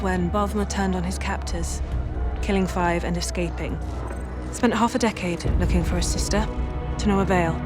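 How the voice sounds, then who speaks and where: A woman playing a crisp intelligence officer in a video game, delivering a factual briefing in an efficient, lightly wry way. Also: medium pitch, close to an everyday voice. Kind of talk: video game cutscene acting, scripted briefing.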